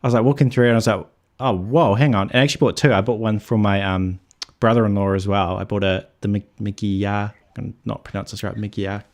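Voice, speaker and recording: A man's voice: fairly low pitch, steady tone, fairly quick. Easygoing New man hosting. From New Zealand. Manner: easygoing, laughs often. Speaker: host. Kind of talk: casual roundtable chat. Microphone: video call.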